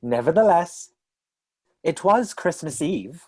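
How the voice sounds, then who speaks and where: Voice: medium pitch, big pitch swings, husky, exaggerated voice. An actor as a genial ghost narrator. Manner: warm, theatrical, measured storytelling. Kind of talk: scripted radio play narration.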